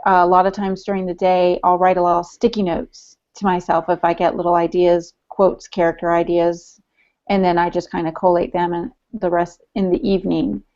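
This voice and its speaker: A woman's voice: on the low side, flat delivery, moderate pace, a little mellow. A woman co-hosting a podcast, talking casually and conversationally about herself. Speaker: podcast co-host. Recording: dull lo-fi podcast audio.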